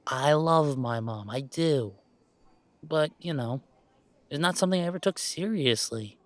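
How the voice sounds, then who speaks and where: A man playing a laid-back, self-deprecating young gamer in a roleplay audio drama, chatting in a halting, playfully exasperated way. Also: fairly high pitch, animated, no echo. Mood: casual, reflective. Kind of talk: roleplay audio drama, casual one-sided conversation.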